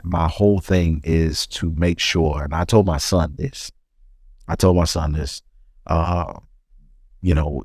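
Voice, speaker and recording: A middle-aged man with a deep voice, talking earnestly in a casual podcast conversation and often repeating words as he goes. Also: darkish tone, no room sound, studio quality. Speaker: podcast co-host.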